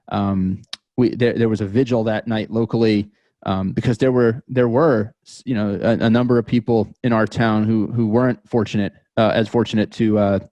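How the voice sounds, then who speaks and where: Low-voiced American man, reflective and halting. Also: warm tone, dry recording. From New York metropolitan area, United States. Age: 30s. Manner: halting, thoughtful, repeats words as he goes. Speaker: podcast guest. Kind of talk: reflective interview storytelling.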